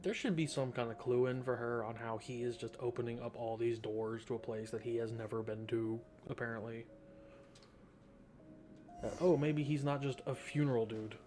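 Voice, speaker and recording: A man's voice: medium pitch, fairly even intonation, moderate pace, a little mellow, a little hiss or hum. A man giving informal let's play commentary, thinking aloud as he goes. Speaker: gaming video host.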